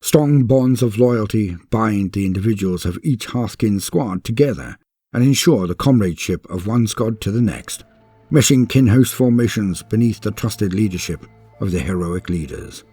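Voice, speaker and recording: A man's voice: on the low side, animated, moderate pace, mellow, breathy edge, no echo, faint music behind. A man narrating scripted lore as a channel host, theatrically, with grandiose and formal storyteller phrasing.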